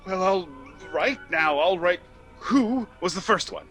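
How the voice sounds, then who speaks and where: An actor playing a frantic, appalled nephew in a theatrical radio comedy, exasperated and stammering. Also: male voice, high voice, expressive, unhurried, fairly bright tone, soft background music. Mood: dazed disbelief. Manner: exasperated, stammering, wildly exclaiming. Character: frantic, appalled nephew discovering his aunts' crimes.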